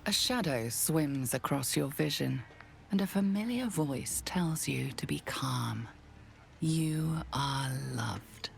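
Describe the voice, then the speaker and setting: A woman playing a calm, ominous narrator, delivering scripted narration in a dark fantasy game. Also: low-pitched, takes it slowly, gravelly, slight background noise, full character voice. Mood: hushed, solemn.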